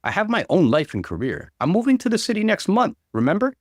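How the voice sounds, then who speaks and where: A defiant young woman acted out by a male narrator in a dramatic story reading. The delivery is emphatic and exclaiming, with wide swings in pitch and a dry, close sound. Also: wildly swooping pitch, fast, no room sound, full character voice. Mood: indignant. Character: defiant, upset young woman fighting for independence.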